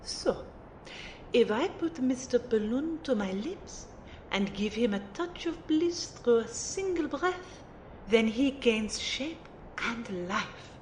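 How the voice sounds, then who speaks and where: A woman's voice: animated, slow, darkish tone. A woman playing a refined, playful magic mentor in an audio drama, formal and precise as she teases and lectures. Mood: playful, teasing. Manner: formal, precise, teacherly phrasing. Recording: roomy amateur recording, faint rumbling noise.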